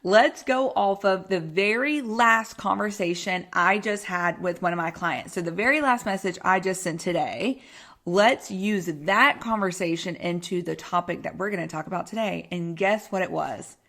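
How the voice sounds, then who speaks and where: A woman's voice: fairly low pitch, moderate pace, a bit bright. A woman podcaster with a Texan accent talks in a bubbly, informal flow. She rambles casually and pokes fun at herself, like a health coach chatting to her regular listeners. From Texas, United States. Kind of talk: casual solo podcast chat.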